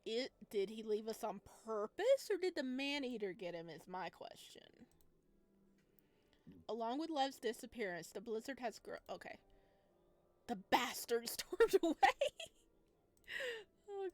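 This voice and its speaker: A woman's voice: a little high, sing-song, slow, long pauses, no room sound. A woman giving casual, exclamatory playthrough commentary on a game, thinking aloud as she goes. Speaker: game streamer playing a visual novel.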